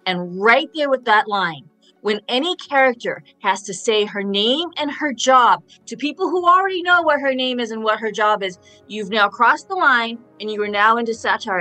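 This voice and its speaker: A woman chats on a radio roundtable with a bright, sharp voice. She gives her opinions as little stories, mimicking lines as she goes. Faint music sits behind her. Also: mid-range voice, moderate pace, rough recording. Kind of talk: casual opinionated discussion.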